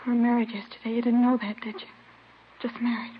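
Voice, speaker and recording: A young gangster's bride acted by a woman, defiant and distraught, with a high, pleading voice. The old radio drama recording is muffled and faintly noisy. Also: little pitch movement, quick pace, lightly acted. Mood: grieving, pleading. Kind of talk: 1950s radio crime drama acting.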